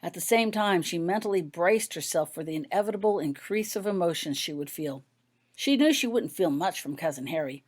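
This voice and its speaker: A woman's voice: lowish voice, lively intonation, brisk, a little mellow. A woman reading her own story aloud as scripted audiobook narration.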